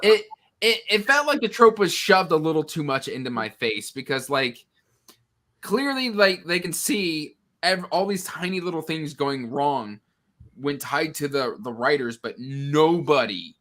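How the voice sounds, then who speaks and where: Man, fairly high pitch, bright forceful tone, exasperated emphasis, stumbling restarts, informal discussion. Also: expressive, measured pace. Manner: stammering restarts, exasperated emphasis, repeats words for effect. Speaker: book discussion panelist. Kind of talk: casual book discussion on a live show.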